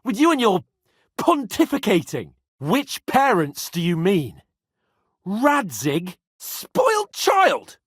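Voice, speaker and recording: A man's voice: high-pitched, wildly swooping pitch, slow, slightly rough, no echo, studio quality, exaggerated voice. An actor playing a haughty, hot-tempered young medieval nobleman in a video game, with a petulant, exclamatory manner. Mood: angry, contemptuous. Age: young adult.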